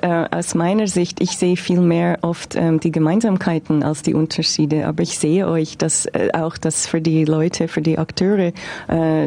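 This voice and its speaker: A woman who is not a native German speaker gives measured answers in an interview. Her voice is dark, flat in pitch and gravelly, and she fills her pauses often. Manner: measured, with frequent fillers. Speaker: interview guest, film observer.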